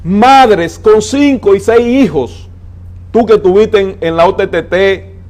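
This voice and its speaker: A man's voice: sits high, a little mellow. Emphatic Dominican man explaining policy. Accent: Dominican Spanish. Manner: emphatic, explains with figures, slows down to stress points. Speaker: transport adviser guest. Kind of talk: interview on a TV talk show.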